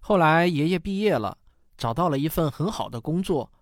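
Patient, lively father. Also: fairly high pitch, moderate pace, no echo, studio quality. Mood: storytelling. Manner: warm storytelling, patient explaining. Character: patient, kindly father explaining family history to his daughter. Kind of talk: radio drama acting.

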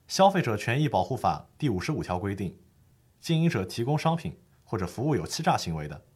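A man's voice: fairly low pitch, big pitch swings, a little fast, a little mellow, breathy edge. A man playing a patient lawyer who explains the law formally and methodically in a scripted educational audio drama. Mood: explaining, firm.

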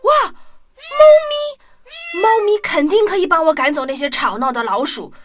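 Woman playing an excitable, high-pitched young boy. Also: normal speed, background noise. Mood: excited, amazed. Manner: exclamatory, wide-eyed. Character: earnest young boy, poor, hopeful, excitable. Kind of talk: children's radio play acting.

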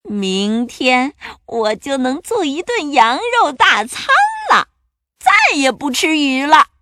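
A woman voicing a timid, slow-spoken male ugly monster in a children's story, with a hesitant, stammering delivery. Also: high voice, big pitch swings, sharp tone, dry recording, clean studio sound, pitched above the performer's own voice, full character voice. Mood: content.

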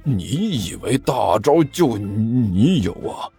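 A blustering demon king from a comic audio story, acted out by a male narrator. He stammers heavily and drags his words out slowly in a rough, husky voice. His indignant challenges rise and fall in lively swings over background music. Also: on the high side, voice pushed up, exaggerated voice. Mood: boastful, defiant. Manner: heavy stammer, drawn-out shouted words.

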